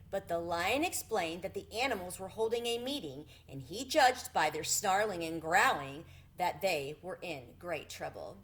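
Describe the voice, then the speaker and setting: A woman reads a children's story aloud in a medium-pitched voice. Her narration is expressive and deliberate, shaped for listeners following a chapter book. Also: slight background noise. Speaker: storybook reader.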